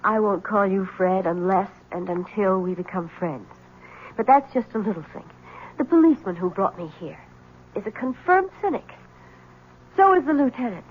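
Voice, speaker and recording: A woman's voice: medium pitch, animated, moderate pace, a little hiss or hum, lightly acted. A woman acting a proud, distraught, devoted wife in a 1970s radio drama, with emphatic, precise, theatrical delivery. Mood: earnest, defiant. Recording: muffled old radio broadcast.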